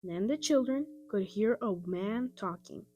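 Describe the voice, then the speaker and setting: Woman reading a kids book out loud, expressive, some music behind her. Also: mid-range voice, a little slow, highs cut off. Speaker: storybook reader. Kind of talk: expressive children's audiobook narration.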